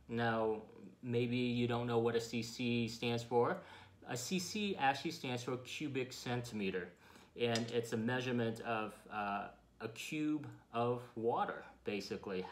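Man doctor explaining a demonstration. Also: on the low side. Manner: explains patiently with everyday comparisons. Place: office with framed pictures, indoors.

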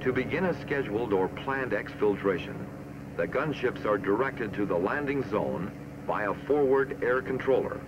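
A man with an American accent reading scripted military training narration in a formal, measured, authoritative way, on an old film soundtrack. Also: on the low side, lively intonation, dull audio, background noise.

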